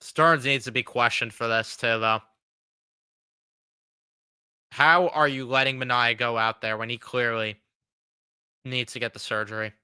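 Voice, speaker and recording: A man in his twenties vents with blunt, frustrated, often profane commentary, though he is getting over a cold. His voice is very bright and crisp. The sound is close and dry. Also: mid-range voice, fairly even intonation, normal speed, dry recording. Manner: blunt, frustrated, swears freely. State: fighting a cold. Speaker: sports fan show host. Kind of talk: casual reaction commentary.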